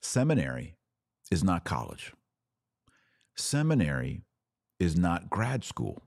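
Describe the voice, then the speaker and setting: Male religious podcast host, extremely low voice, emphatic and earnest delivery, very dry sound. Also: huge pitch swings, takes it slowly, a little mellow, no room sound. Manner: earnest, emphatic, repeats phrases for stress. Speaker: podcast host answering live viewer comments. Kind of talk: live religious commentary.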